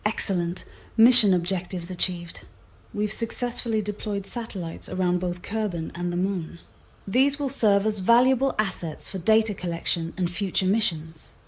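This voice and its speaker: A synthetic female assistant voice at a medium pitch, speaking as an AI copilot. Her delivery is composed and formal, in neatly finished sentences. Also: normal speed.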